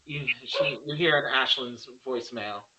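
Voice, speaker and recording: A man's voice: mid-range voice, normal speed, bright, forceful tone, low-quality recording. A man reading the stage directions of a horror screenplay in plain scripted narration.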